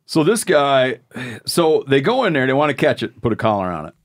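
A man's voice: mid-range voice, very animated, fairly quick. A man hosting a casual podcast chat, reading listener mail aloud and making joking asides. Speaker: podcast host.

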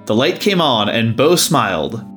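A man's voice: mid-range voice, sing-song, measured pace, a bit bright, faint music behind. A man narrating a scripted story.

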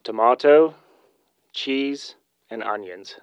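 A South African man speaks over slightly muffled call-in audio, in a dry, close sound. His native tongue is Afrikaans, and he talks at an easy, halting pace, often repeating words as he explains a recipe. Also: mid-range voice, clean tone, dry recording. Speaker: winery guest calling in. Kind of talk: casual recipe chat.

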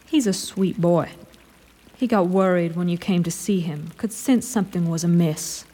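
A woman's voice: fairly low pitch, normal speed, warm tone, slight background noise, close to an everyday voice. A woman playing an elf lord commander in an audio drama, formal and falsely sweet, with sly menace under mock kindness. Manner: mock-kindly, formal, sly, drawling emphasis.

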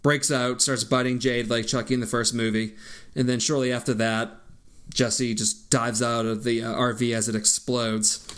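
A man's voice: medium pitch, level tone, normal speed, a bit bright, clean tone. Man in his own voice, loose and profane, narrating plot points for a podcast. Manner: loose, profane, laid-back storytelling. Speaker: podcast co-host. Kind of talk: casual chat recapping a movie.

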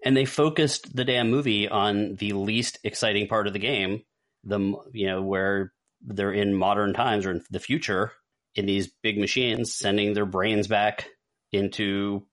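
A man's voice: on the low side, steady tone, unhurried, fairly bright tone. A man co-hosting a podcast, chatting casually and ranking things with rambling asides. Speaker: podcast co-host. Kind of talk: casual chat, film ranking.

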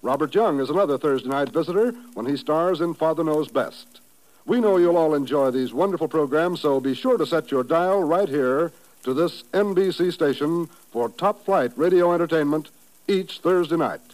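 A man's voice: on the high side, normal speed, a little mellow, low-quality recording. A man with an American accent announcing programs in a polished 1950s radio promotional style.

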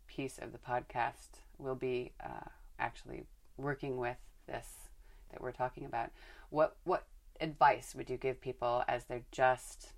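A chatty woman hosts a relaxed podcast conversation, asking long, winding questions and laughing easily. Her voice sits very low for a woman. She is from Northern California. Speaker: podcast host.

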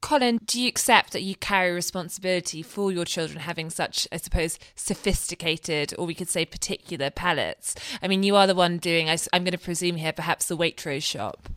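A woman hosting a discussion, putting questions in a wry, measured way. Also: lowish voice, fairly bright tone, dry recording. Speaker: host. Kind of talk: discussion interviewing.

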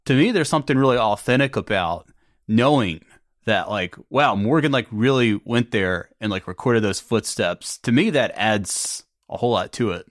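A man hosting a podcast interview, talking in a relaxed, hedging way. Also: lowish voice, normal speed, dry recording, clean studio sound. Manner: relaxed, hedging with many "like" fillers. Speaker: podcast host. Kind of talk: casual interview chat.

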